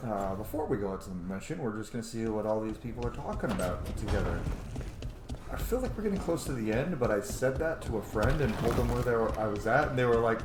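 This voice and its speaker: Chatty lowish-voiced man, echoey room. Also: lively intonation, quick pace. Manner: chatty, informal, sweary. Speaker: gaming channel host. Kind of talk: casual let's play commentary. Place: small room at home, indoors. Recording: echoey room with faint noise.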